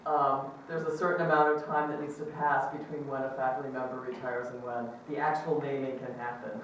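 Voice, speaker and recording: A woman's voice: very deep voice, fairly even intonation, brisk, slight background noise. A woman chairing a department, giving an upbeat welcome speech at a ceremony with frequent ums. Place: large echoey lecture hall. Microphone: amplified microphone recorded from a distance. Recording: very echoey hall from far off.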